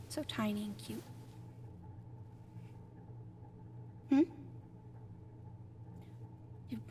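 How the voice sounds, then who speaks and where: A woman playing a friendly, apologetic alien caretaker in an ASMR roleplay, speaking gently and haltingly and often slipping into whispers. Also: mid-range voice, background noise, close to an everyday voice. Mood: gentle, curious. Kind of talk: soft-spoken ASMR roleplay addressed to the listener.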